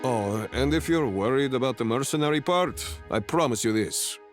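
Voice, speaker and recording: A man's voice: medium pitch, animated, moderate pace, music in the background, close to an everyday voice. A man acting a cocky, easygoing mercenary captain in a video game, casual and self-assured. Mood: confident. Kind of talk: dramatic game cutscene acting.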